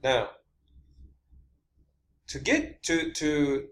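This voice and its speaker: Emphatic man with a slightly high voice. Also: very animated, drawn-out words, a bit bright. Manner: emphatic, repeats phrases for stress. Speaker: amateur presenter giving his own theories. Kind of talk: informal explanatory monologue.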